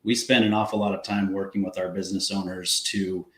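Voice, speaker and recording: A man appearing as a guest wealth manager, friendly and quick to laugh, explaining things plainly. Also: sits low, level tone, reverberant. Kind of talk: casual interview chat.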